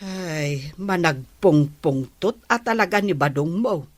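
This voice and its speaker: A woman acting a sympathetic, inquisitive neighbour in a comedy radio drama, with a husky voice. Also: sits low, expressive, slow, no echo, heavily acted.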